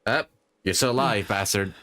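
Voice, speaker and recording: A man's voice: lowish voice, moderate pace, pushed and bright. A man livestreaming a video game, giving casual, informal reaction commentary with plenty of swearing. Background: faint game audio music.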